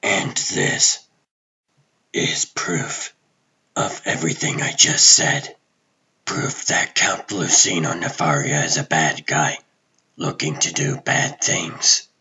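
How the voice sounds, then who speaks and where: A man voicing a gruff, calm and wry vigilante superhero in a comic book dub, with a husky, hushed rasp and terse delivery. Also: rumbling low, muffled recording, heavily acted. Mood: insistent. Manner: terse, dry, deliberate.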